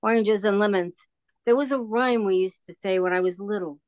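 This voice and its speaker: A woman's voice: medium pitch, moderate pace, darkish tone, clean tone, clean studio sound, close to an everyday voice. Woman doing a sweet old shop lady for a radio play, rambles and trails off, no room echo. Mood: wistful, chatty. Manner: rambling, hesitant, musing. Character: kindly, wistful old woman shopkeeper fond of rhymes.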